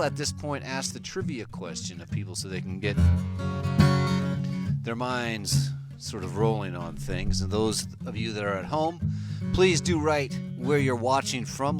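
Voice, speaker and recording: A man hosting a music show, chatting casually and rambling playfully between his songs in front of a live audience. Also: mid-range voice. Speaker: singer-songwriter host of a music show.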